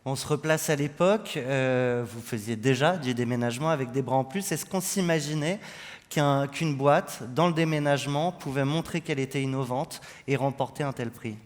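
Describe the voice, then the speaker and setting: A man hosts an interview on stage, introducing guests and asking them questions. His voice sits at an average pitch. It carries the long echo of a big hall. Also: moderate pace. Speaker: host, interviewer. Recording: echoey hall, long reverb.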